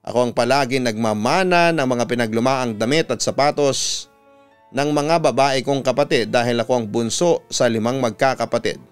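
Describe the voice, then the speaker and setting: A man with a Filipino accent narrating a scripted story as a radio announcer, measured and clearly enunciated. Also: mid-range voice. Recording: music bed under the voice. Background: music.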